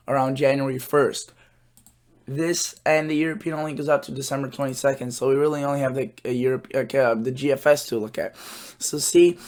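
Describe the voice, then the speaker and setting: Slightly hoarse man explaining forecasts. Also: on the high side, fairly bright tone. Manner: halting, many filler words and restarts. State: hoarse, losing his voice. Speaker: weather channel host. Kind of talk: casual forecast explainer walking through model charts.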